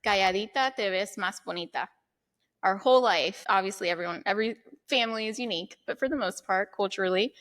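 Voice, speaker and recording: Woman author guest, bright forceful tone, open and frank. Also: medium pitch. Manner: candid, laughs easily. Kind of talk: casual interview chat.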